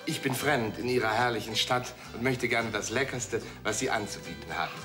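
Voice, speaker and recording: A man's voice: medium pitch, fairly quick, close to an everyday voice. A man playing a naive, overly formal restaurant guest in a turban in a TV comedy sketch. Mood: formal, polite. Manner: stilted formal phrasing, exotic address. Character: fake Indian guest in turban, naive, polite. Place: restaurant studio set. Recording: music and studio audience laughter.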